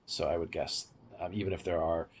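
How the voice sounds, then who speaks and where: A man's voice: low voice, steady tone, brisk, a little hiss or hum. A man hosting a podcast, giving an explanatory, opinionated review in casual discussion. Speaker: podcast host.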